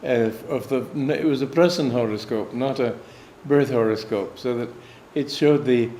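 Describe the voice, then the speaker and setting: A man's voice: medium pitch, moderate pace, warm tone, a little hiss or hum. An American man, a swami and spiritual teacher, recounting a personal anecdote in a meandering storytelling way. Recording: echoey room, faint ambience.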